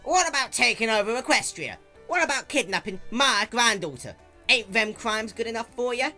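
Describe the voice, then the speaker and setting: A performer voicing a feisty, furious elderly country mare, snapping loudly in folksy speech over music. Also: mid-range voice, animated, moderate pace, pitched above the performer's own voice. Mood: furious, indignant.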